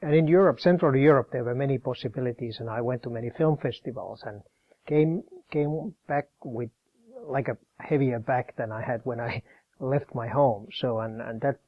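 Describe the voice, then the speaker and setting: A man from Finland, a professor calmly explaining and demonstrating his collection in his office in an interview. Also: mid-range voice, moderate pace, highs cut off. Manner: calm, explanatory, demonstrating objects as he talks.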